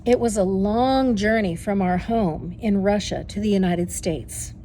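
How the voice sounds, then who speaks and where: A woman voicing a tender, nostalgic elderly great aunt in an expressive children's storybook reading. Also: mid-range voice, a little slow, a little hiss or hum, lightly acted. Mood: wistful. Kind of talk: children's storybook read-aloud.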